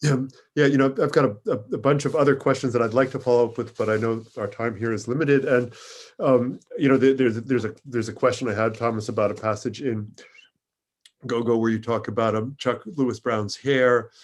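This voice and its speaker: A man moderating a literary interview, tentative and halting as he frames his questions, repeating words. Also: medium pitch, fairly even intonation. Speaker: moderator of a book event.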